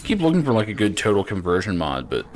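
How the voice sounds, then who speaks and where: A man's voice: lowish voice, huge pitch swings, fast, darkish tone. A man doing casual gameplay commentary and reading quest text aloud, with dry, muttered, sweary asides. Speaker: game streamer.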